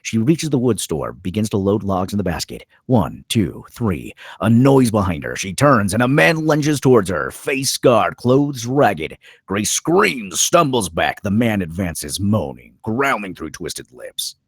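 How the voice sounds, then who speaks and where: A man narrates screenplay directions in a low, husky voice. His pitch moves around a great deal as he sets each scene, and the sound is dry and close. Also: very animated, measured pace, few pauses, dry recording. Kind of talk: scripted narration of a screenplay table read.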